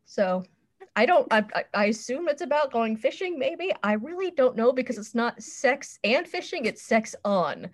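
A woman's voice: on the high side, big pitch swings, moderate pace. A woman co-hosting a podcast, giving her opinions in casual conversation. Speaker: podcast co-host. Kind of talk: casual review chat.

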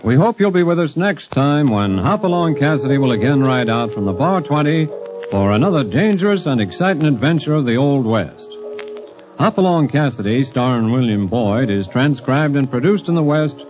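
A man's voice: mid-range voice, animated, normal speed, muffled recording. A male announcer reading scripted radio introductions in a formal, stately way. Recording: old radio recording with music.

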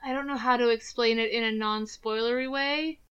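A woman's voice: a little high, fairly even intonation, fairly quick, fairly bright tone, clear, resonant tone, lo-fi sound. A woman co-hosting a casual podcast chat about movies, rambling and filling her sentences with fillers. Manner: rambling, heavy use of filler words. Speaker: podcast co-host.

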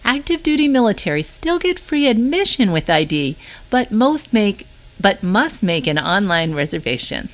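A woman's voice: mid-range voice, very animated, moderate pace. A woman reading a scripted news update aloud as the narrator of a newsletter. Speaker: newsletter reader.

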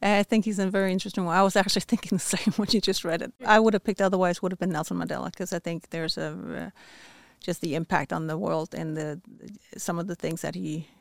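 A woman's voice: on the low side, fairly even intonation, fast talker, creaky, few pauses, dry recording, clean studio sound. A woman who heads a company department, talking as a podcast guest in a casual, thoughtful way with frequent restarts.

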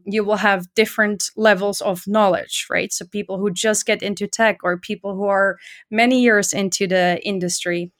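A woman's voice: mid-range voice, steady tone, moderate pace, a bit bright. Upbeat woman guest on a podcast. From Netherlands. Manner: enthusiastic, often adding "right?" and "uh". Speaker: podcast guest, community organizer. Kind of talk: conversational interview.

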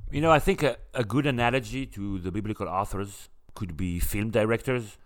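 A man's voice: on the low side, a little fast. Enthusiastic male podcast host from Israel. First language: Hebrew. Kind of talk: casual podcast conversation.

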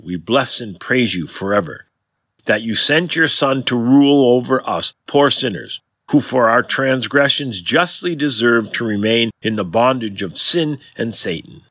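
A man, a pastor, giving a devotional with scripture reading and prayer in a solemn, measured, formal way. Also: mid-range voice, a bit bright, no echo. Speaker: pastor and podcast host.

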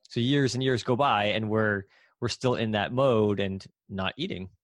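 A man hosting an interview, raising workplace observations in a thoughtful, measured way. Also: lowish voice, dry recording, studio quality. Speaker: interview host working in healthcare. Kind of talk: conversational interview questions.

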